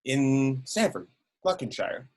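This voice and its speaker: A man's voice: mid-range voice, very animated, measured pace. A man reading a jovial, dodgy sergeant. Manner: hesitant, lots of ums and restarts. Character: jovial, evasive male police sergeant.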